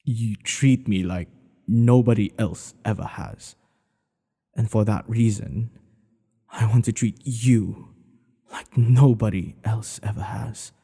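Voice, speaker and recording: A man playing a tender, devoted king in an intimate ASMR roleplay, speaking in an earnest, hushed, halting way. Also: fairly low pitch, vocal fry, husky, big-room sound, full character voice. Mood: tender, confiding. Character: tender, devoted male monarch hiding a secret lover.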